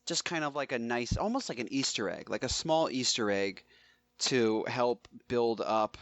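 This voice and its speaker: A man's voice: medium pitch, lively intonation, normal speed, a bit bright, no echo. A man co-hosting a podcast in a loose, casual chat style. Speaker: podcast co-host.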